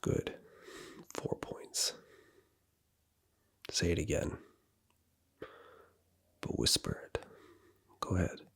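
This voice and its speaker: A man's voice: extremely slow, long pauses. A man in a whispered ASMR roleplay, commanding and teasing as he gives orders and hands out points, close to the microphone.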